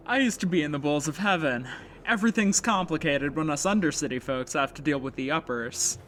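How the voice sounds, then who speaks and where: An actor playing a resigned, easygoing indentured laborer in a sci-fi audio drama, speaking matter-of-factly. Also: male voice, on the high side, moderate pace, fairly bright tone, a little hiss or hum, natural voice. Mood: mild, accepting. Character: resigned, mild-mannered male Undercity debt laborer. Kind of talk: science fiction audio drama acting.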